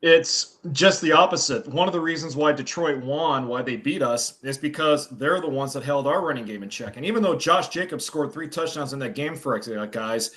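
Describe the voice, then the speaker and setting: A man on a sports talk panel, explaining game stats in a steady, methodical way. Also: a little high, moderate pace, hardly any pauses. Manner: steady, stat-heavy explaining. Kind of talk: sports analysis.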